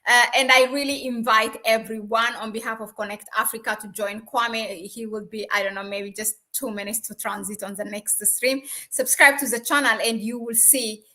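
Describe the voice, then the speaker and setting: Bright-voiced Ethiopian woman hosting. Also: a little high, fairly even intonation, moderate pace, hardly any pauses. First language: Amharic. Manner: hesitant, many fillers. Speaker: host of a live stream. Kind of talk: live stream interview chat.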